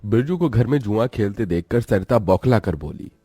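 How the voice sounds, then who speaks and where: A man narrating a scripted cartoon moral story in a dramatic, expressive storytelling style. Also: fairly low pitch, normal speed, husky, dry recording, clean studio sound.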